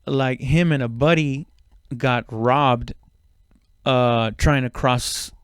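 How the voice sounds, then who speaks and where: A man's voice: medium pitch, slow pace, clean tone, no echo, studio quality. A man in his thirties from Mexico, a comedian telling a story casually, swearing and interrupting himself as he rambles. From Mexico, moved to the US at seven. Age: 30s. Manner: profane, rambling, self-interrupting. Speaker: stand-up comedian.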